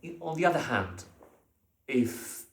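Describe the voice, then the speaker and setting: Slow, hesitant man in an echoey room. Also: mid-range voice, sing-song. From Argentina. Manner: hesitant, many fillers and restarts, unscripted. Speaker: vlogger. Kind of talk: casual monologue to camera.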